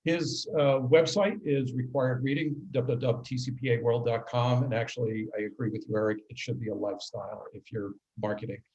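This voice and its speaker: A man hosts a professional webinar, moving between prepared introductions and casual asides. His voice sits at a medium pitch, and his delivery is halting, often broken by throat clearing. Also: fairly even intonation, darkish tone, highs cut off. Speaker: webinar host and compliance consultant.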